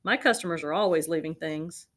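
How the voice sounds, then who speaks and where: A woman voicing a brisk, helpful laundromat manager in a storybook, calling out obligingly. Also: sits low.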